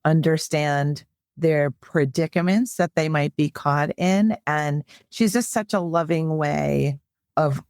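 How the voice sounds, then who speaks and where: A woman psychologist hosting a podcast, introducing her guest in a measured, affirming way. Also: deep voice, darkish tone, no echo, clean studio sound.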